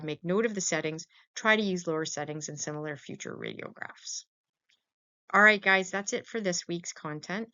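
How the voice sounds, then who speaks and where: A woman's voice: on the low side, fairly bright tone, clear, resonant tone. Woman lecturing informally, dull lo-fi recording. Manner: conversational, checks in with "right?" and rhetorical questions. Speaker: lecturer teaching veterinary radiography.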